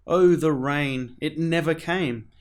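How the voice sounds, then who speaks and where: A man reading a children's picture book aloud as a storyteller, in a theatrical manner with dramatic pauses. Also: a little high. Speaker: storyteller, show host.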